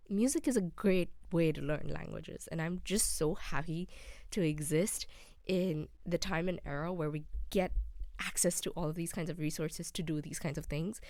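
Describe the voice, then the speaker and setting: A young woman hosting a relaxed solo podcast, rambling casually with frequent ums; English is not her first language. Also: fairly low pitch, no echo, clean studio sound. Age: young adult. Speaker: podcast host.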